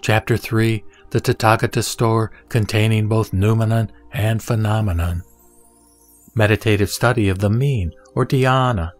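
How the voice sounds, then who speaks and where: A man reciting a sutra as a solemn scripted reading, in measured, deliberate phrases with pauses. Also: fairly low pitch, steady tone. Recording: background music under the voice. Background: music.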